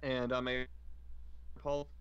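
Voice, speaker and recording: A man's voice: mid-range voice, little pitch movement, takes it slowly, fairly bright tone, clean tone, dry recording, highs cut off. A man co-hosting a livestream podcast, talking casually over an internet call. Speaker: podcast co-host. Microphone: remote voice call microphone.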